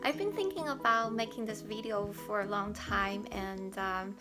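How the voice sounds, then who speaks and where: A woman's voice: medium pitch, moderate pace, music in the background. A young woman from Taiwan with a Mandarin accent, speaking English as she explains to camera how she learned a pronunciation, with many fillers and restarts. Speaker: language learner vlogger.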